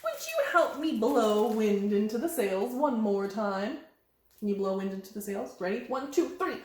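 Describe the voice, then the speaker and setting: A woman's voice: on the high side, big pitch swings, normal speed, darkish tone. A woman leading an interactive children's storytime, speaking in a sing-song, encouraging way and putting questions to young listeners. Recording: very echoey, roomy sound.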